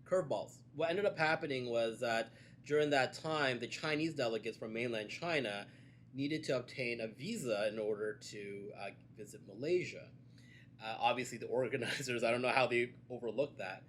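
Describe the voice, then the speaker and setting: A man in a relaxed sit-down conversation, speaking at an ordinary middle pitch. He talks in a measured, halting way with frequent fillers and restarts. Faint background noise sits under the recording. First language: Cantonese. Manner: measured, hesitant with many fillers. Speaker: guest, events and media producer. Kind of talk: casual conversation.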